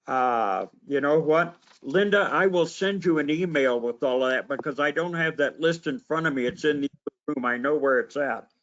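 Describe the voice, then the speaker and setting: Relaxed district governor, slightly high voice. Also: male voice, normal speed, muffled recording. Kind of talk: casual meeting talk.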